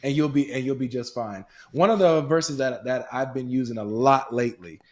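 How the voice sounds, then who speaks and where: A man's voice: medium pitch, normal speed, a little mellow. A man appearing as a guest on a talk show, earnestly explaining an argument and acting out quoted exchanges. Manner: earnest, builds points with quoted dialogue.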